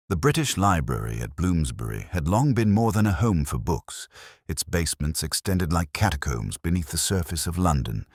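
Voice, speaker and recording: A man's voice: very deep voice, a little mellow, no room sound, clean studio sound. A man reading a Victorian detective story as scripted narration. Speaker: audiobook narrator.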